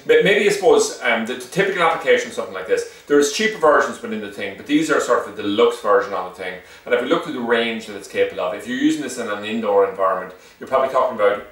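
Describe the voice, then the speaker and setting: Echoey, fast-talking low-voiced Irish man. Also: fairly bright tone. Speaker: shop seller presenting product reviews. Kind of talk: informal unscripted product demonstration. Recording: echoey room, distant microphone.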